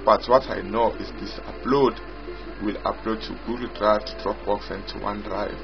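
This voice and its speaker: A man giving an unscripted software walkthrough as its developer, explaining haltingly and repetitively in short phrases. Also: on the low side, gravelly. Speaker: software developer demonstrating own app. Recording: dull lo-fi audio with loud music. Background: loud music.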